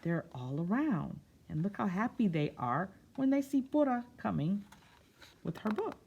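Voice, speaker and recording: A woman's voice: medium pitch, very animated, unhurried, gravelly, warm tone, dull audio, a little hiss or hum. A woman reading a picture book aloud to children, drawing out phrases expressively and breaking off into simple, casual explanations. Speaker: children's storyteller.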